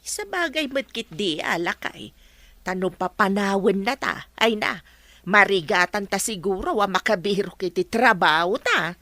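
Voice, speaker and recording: A woman's voice: medium pitch, animated, a little slow, bright, forceful tone, dry recording. A Filipino woman playing an inquisitive, grumbling resort worker in a comedy radio drama. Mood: curious, grumbling.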